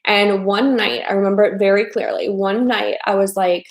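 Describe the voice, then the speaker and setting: A woman's voice: mid-range voice, fairly even intonation, normal speed, roomy sound. A chatty woman in her thirties from the American Midwest, hosting a casual live stream as a dating coach and often repeating her phrases. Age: 30s.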